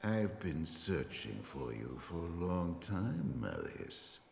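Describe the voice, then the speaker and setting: An actor playing a stern, menacing hunter in a game cinematic, deliberate and coldly probing as he confronts his quarry. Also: low-pitched, sing-song, creaky, a little mellow, exaggerated voice. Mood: calm menace. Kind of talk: dramatic video game cinematic acting. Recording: echoing hall reverb, faint ambient noise.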